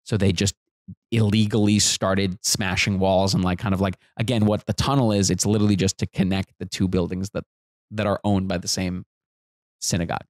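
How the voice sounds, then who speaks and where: A man in his thirties, a comedian guest, telling animated jokey stories on a podcast couch into a close boom mic. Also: brisk, no room sound. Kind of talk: casual storytelling chat. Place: living room studio with couch.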